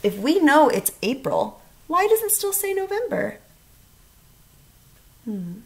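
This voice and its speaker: A woman teacher giving a read-aloud for young children, with very lively intonation and a slow pace. She explains things step by step and often puts questions to her listeners. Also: high-pitched, vocal fry. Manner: expressive, instructional, questioning.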